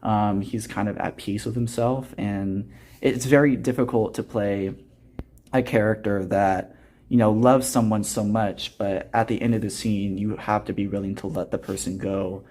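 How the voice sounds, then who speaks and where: A young man in his late teens with a fairly low voice speaks as an interview guest. He is earnest and reflective, explaining things conversationally and softening them with little hedges like "kind of" and "you know". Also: steady tone, moderate pace. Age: teens, high school senior. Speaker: student actor interviewed as a guest.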